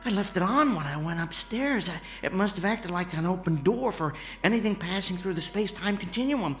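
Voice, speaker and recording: A man playing an excitable, kindly amateur inventor in a radio drama, stammering and folksy. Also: high-pitched, brisk, faint music behind. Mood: curious. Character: excitable, kindly amateur inventor, male former teleportation engineer.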